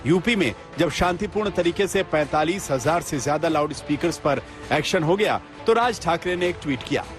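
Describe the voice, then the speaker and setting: A man's voice: on the high side, expressive, moderate pace. A man reading a scripted TV news report as a voice-over. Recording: voice-over with music bed. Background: background music.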